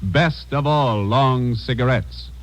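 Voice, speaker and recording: An American man announcing from a script in formal, measured 1950s radio style. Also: medium pitch. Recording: dull vintage radio recording, faint music.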